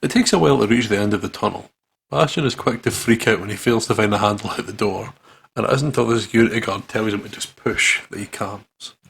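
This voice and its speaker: A man's voice: on the low side, fast talker, creaky, a bit bright, slightly rough. A man hosting a gaming video, reading story narration aloud dramatically and dropping into casual asides.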